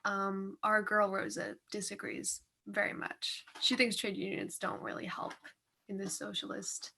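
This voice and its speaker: A woman with a medium-pitched voice chats casually as a co-host. Her delivery is offhand and slangy. She keeps things short and loose, without formality. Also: level tone, unhurried, creaky, pushed and bright, a little hiss or hum. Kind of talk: casual chat.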